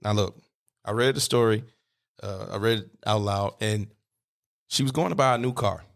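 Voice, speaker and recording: Man in his thirties, podcast host, lowish voice, relaxed banter, swears casually. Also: normal speed, gravelly. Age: 30s. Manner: laid-back, swears casually, laughs often.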